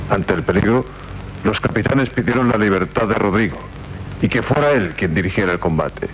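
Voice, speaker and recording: Guy playing solemn nobleman herald, low voice, castilian accent, old spanish radio drama, slight hiss. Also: lightly acted. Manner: solemn, declamatory storytelling. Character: grave, loyal nobleman bringing news of battle. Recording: noisy 1970s radio drama recording.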